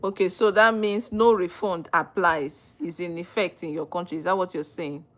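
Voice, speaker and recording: Brief: female livestream host, Nigerian accent, slightly low voice, teasing and questioning. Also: fairly even intonation, moderate pace. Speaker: livestream host, legal practitioner. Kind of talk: live chat reading viewer comments.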